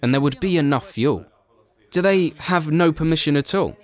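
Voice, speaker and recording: A man reading a scripted documentary voice-over, explaining things in an even, explanatory way. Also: on the high side, normal speed. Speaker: documentary voice-over narrator and translator.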